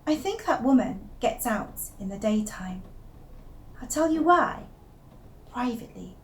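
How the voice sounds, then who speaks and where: A woman's voice: on the high side, sing-song, unhurried. A woman playing an unravelling, obsessive young wife who confides her secrets in a theatrical, intense first-person monologue. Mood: secretive, confiding. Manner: confiding, intense, theatrical, with shifts from hushed to emphatic.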